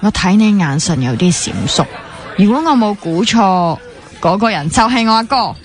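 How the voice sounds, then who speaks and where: A woman's voice: mid-range voice, animated, moderate pace, some chatter behind, natural voice. An actress with a Hong Kong Cantonese accent playing an earnest, teasing young woman training as a doctor in a radio drama. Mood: playful, teasing. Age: young adult. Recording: music playing behind the dialogue.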